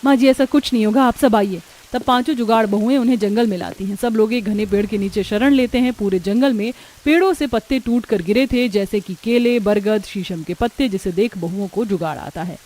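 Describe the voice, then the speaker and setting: A woman's voice: medium pitch, a little fast, hardly any pauses, darkish tone. A woman narrating a scripted cartoon story as a storyteller.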